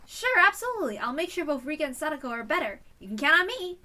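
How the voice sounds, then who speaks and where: A woman's voice: high-pitched, big pitch swings, fast talker, sharp tone, pitched above the performer's own voice, exaggerated voice. A woman voicing an anxious, earnest villager with an emphatic manner in a story reading. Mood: earnest concern. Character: anxious, earnest villager asking after sick children.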